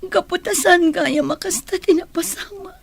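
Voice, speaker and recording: A woman plays a guilt-torn, soft-hearted young woman in an Ilocano radio drama. Her voice is high, with an Ilocano accent. She sounds earnest and sorrowful, as someone who cannot return a love. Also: normal speed, creaky. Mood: tearful apology.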